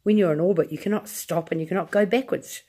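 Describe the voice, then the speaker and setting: An eccentric, self-assured male inventor from a storybook, as a woman reading aloud puts him on. He speaks briskly and firmly, sometimes bursting louder with excitement. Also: lowish voice, slightly rough. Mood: confident.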